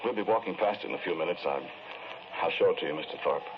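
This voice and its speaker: An actor playing a sombre, earnest confessed killer in a 1940s radio drama, speaking in a measured, hesitant, brooding way. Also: male voice, sits low. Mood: earnest, insistent. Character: sombre, earnest man confessing to killing the woman he loved. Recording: noisy, muffled 1940s radio recording.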